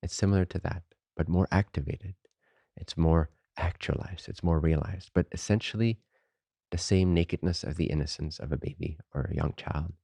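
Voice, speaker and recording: A man leading a guided meditation as a teacher, unhurried and informal, speaking in short phrases with pauses between them. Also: low-pitched, gravelly, mellow, no room sound.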